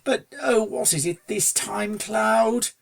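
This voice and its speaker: A reader voicing a suave upper-class gentleman stallion in a storybook, with a posh, drawling, breezy manner and old-fashioned phrasing. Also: medium pitch, takes it slowly, gravelly, voice pushed up. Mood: fussed, weary. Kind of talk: storybook character dialogue.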